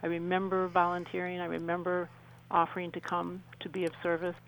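A woman speaking as a radio interview guest, answering conversationally in an earnest, reflective and halting way. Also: fairly low pitch, monotone, a bit bright, slight background noise, rough recording.

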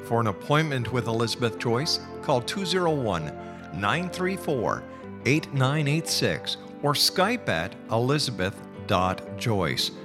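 A man's voice: mid-range voice, very animated, measured pace, music in the background. A man hosting a radio show, speaking in an emphatic, showman-like announcer style.

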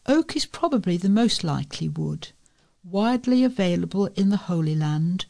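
A woman's voice: mid-range voice, gravelly, dark tone. A woman reading scripted local news and features as a volunteer newsreader.

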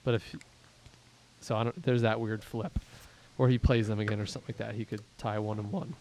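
A man from Pittsburgh hosting a casual fantasy football podcast, rambling and self-deprecating as he thinks out loud about the standings. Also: fairly low pitch, little pitch movement, fairly quick, slight background noise, low-quality recording. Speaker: podcast host and league commissioner.

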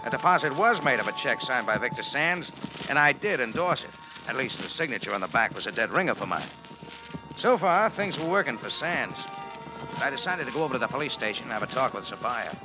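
An actor playing a wry, tough cafe owner in 1940s radio noir, clipped and hardboiled. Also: male voice, medium pitch, expressive, fairly quick, lightly acted, rough recording. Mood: hardboiled narration. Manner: dry, wisecracking, clipped hardboiled delivery. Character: wry, tough male cafe owner narrating his adventure. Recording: old radio broadcast with music behind.

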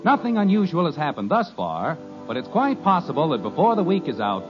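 A polished 1950s radio announcer telling the story, his voice lively and pitched a bit high. The old transcription sounds dull, with clear music under him. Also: brisk.

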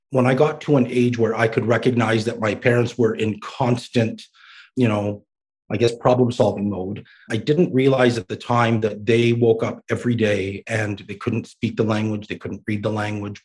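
A man of middling pitch answers interview questions on a podcast. He speaks in a thoughtful, measured way and often repeats a word as he finds his footing. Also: a little mellow. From Iraq, raised in Canada. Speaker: developmental service worker, guest.

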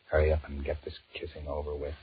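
An actor playing a weary, sullen two-timing American office man in a 1940s radio drama, musing in a deadpan mutter. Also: very deep voice, fast. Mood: weary, grumbling. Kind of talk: 1940s radio drama acting, inner monologue. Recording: muffled, noisy 1940s radio recording.

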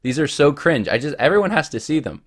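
A man's voice: a little high, expressive, fast talker. A man hosting his own podcast, talking casually and rambling with many restarts while he has a cold. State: has a cold, voice deeper than usual. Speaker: podcast host. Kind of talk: casual solo podcast talk.

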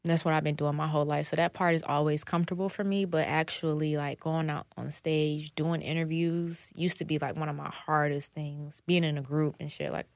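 A woman's voice: low voice, little pitch movement, a little fast, hardly any pauses, no echo. A woman in her twenties, a singer guest on a podcast, talking candidly and chatting casually with frequent laughs and swearing. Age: 20s. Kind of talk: casual interview chat.

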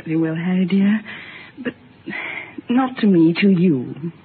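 Narrow hissy vintage recording, fragile genteel wife, halting, wistful, bitter, radio drama. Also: female voice, on the low side, expressive. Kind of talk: old-time radio drama acting.

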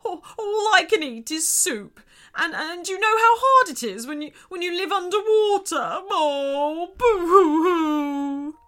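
A woman's voice: on the high side, very animated, takes it slowly, sharp tone, exaggerated voice. Woman acting a theatrical, growly big male shark. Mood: wailing, ashamed. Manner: theatrical, exclaiming.

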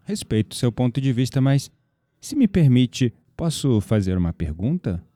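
Guy doing a smooth philosophical flirt, brazilian accent, mellow tone, dry audio drama mic. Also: medium pitch, moderate pace, dry recording, close to an everyday voice. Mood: earnest. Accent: Brazilian Portuguese. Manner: calm, philosophical, smoothly flattering. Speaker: incense shop clerk.

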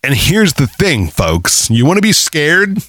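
A man's voice: fairly high pitch, huge pitch swings, sharp tone, no room sound, studio quality. A man co-hosting a casual podcast, talking in a loose, profane way and stretching words out as he makes a point. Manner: loose, profane, drawn-out delivery. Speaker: podcast co-host. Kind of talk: casual chat.

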